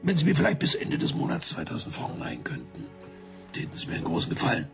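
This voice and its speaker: Creaky, clipped, embarrassed major. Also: male voice, medium pitch, huge pitch swings, fast talker, soft background music. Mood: stiff, embarrassed. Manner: clipped sentences, stiff, apologetic. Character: embarrassed broke English major begging a loan.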